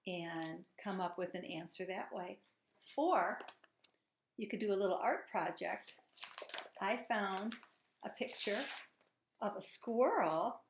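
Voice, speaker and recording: A woman's voice: medium pitch, slight background noise. A woman teacher reading a children's storybook aloud, encouraging and putting questions to her young listeners. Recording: echoey room.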